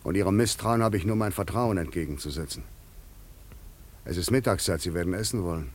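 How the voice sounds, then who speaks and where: A man's voice: low-pitched, a little fast. An actor playing a shivering, naked castaway in a German radio play, ironic and eloquent as he politely pushes his way in. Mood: wry, insistent. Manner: wry, eloquent, politely pushy. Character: shivering naked castaway man, ironic, insistent, uninvited guest.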